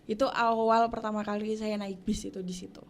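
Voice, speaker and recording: A young woman from Papua, a university student and podcast guest, answering casually with many pauses and fillers. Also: mid-range voice, little pitch movement. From Papua, Indonesia. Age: young adult.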